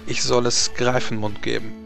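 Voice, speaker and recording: An evasive, formal noblewoman knight in a tabletop fantasy game, acted out by a man. The voice sits at a middling male pitch, with music behind. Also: level tone, moderate pace, vocal fry, fairly bright tone. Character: evasive noblewoman knight, politely excusing herself.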